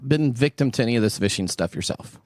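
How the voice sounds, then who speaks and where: Male talk radio host for tech news discussion. Conversational explainer, medium pitch. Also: expressive, fast talker, darkish tone, no room sound, studio quality.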